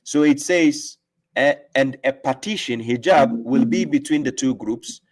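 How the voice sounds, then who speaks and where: A man with a mid-pitched voice hosts a live religious stream. He reads verses aloud slowly and with weight, then explains them in plain terms, often checking in with a quick "right?". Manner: reads scripture aloud with slow emphasis and explains it; asks rhetorical "right?" often. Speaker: host and religious teacher.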